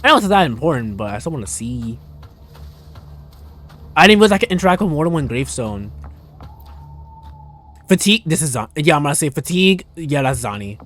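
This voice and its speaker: A man's voice: on the high side, big pitch swings, a little fast, pauses often, fairly bright tone. A man giving casual live gameplay commentary, thinking aloud in an irreverent, jokey way. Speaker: game livestreamer.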